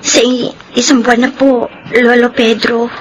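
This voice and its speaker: A woman's voice: on the high side, level tone, moderate pace, background noise, lightly acted. A woman playing a devoted, troubled young mother-to-be in a radio drama, earnest and emotional, with a Filipino accent. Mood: troubled, tender.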